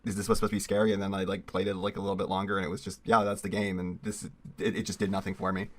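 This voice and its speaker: Fast-talking, sarcastic man co-hosting a comedy show. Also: deep voice, little pitch movement. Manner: sarcastic, incredulous, swears. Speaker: co-host. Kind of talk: casual banter and comic fanfic commentary.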